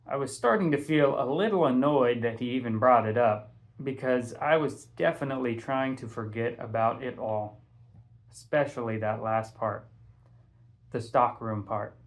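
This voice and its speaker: Man, audiobook read-aloud, conversational storyteller, emphatic phrasing, medium pitch. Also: normal speed. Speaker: audiobook reader, teacher. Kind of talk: expressive read-aloud storytelling in a first-person boy's voice.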